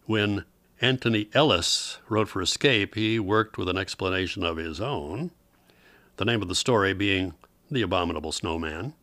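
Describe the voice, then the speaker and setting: A man's voice: low voice, moderate pace, pushed and bright, no room sound. A man hosting an old-time radio program, reading a scripted introduction as narrator.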